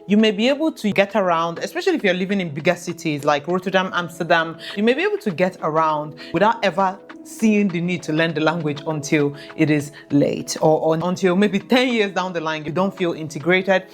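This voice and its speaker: A woman's voice: on the low side, animated, brisk, hardly any pauses, faint music behind. A woman speaking earnestly and directly to viewers as she gives practical advice in a vlog. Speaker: expat vlogger giving advice.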